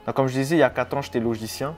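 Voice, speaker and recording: A man in his twenties chatting casually to his viewers in a vlog, relaxed and rambling with frequent hesitations. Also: mid-range voice, very fast, faint music behind. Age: 20s. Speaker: YouTuber. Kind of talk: casual vlog talk to camera.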